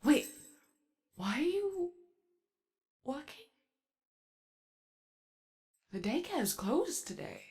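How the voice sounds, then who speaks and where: An anxious, eager-to-please animatronic daycare attendant acted by a woman in an ASMR roleplay. The voice is slow and soft with a fry in it. The speech is hesitant and rambling, always keen to be friendly. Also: high voice, very animated, heavily acted.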